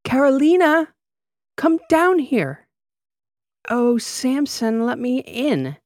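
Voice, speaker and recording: Man doing a drunk socialite lady, high lively voice, slurred drawn-out words, dry sound. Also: dry recording, exaggerated voice. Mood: drunk pleading. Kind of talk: audiobook character voice.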